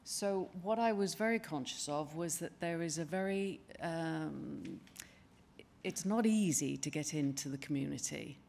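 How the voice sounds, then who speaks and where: An older woman giving a conference presentation at a lectern in a large wood-panelled hall, speaking in a measured, conversational way with frequent ums and restarts. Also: low voice, lively intonation, vocal fry, darkish tone. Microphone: lectern microphone.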